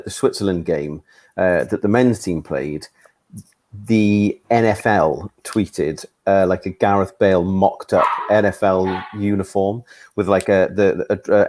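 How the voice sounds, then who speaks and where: A man hosting a post-match discussion, talking keenly but haltingly with many restarts. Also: deep voice, a little mellow, a little hiss or hum. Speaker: fan trust host.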